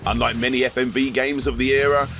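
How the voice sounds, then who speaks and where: A man's voice: mid-range voice, flat delivery, fast talker. A man delivering scripted documentary narration for a video essay, with wry asides. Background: music bed.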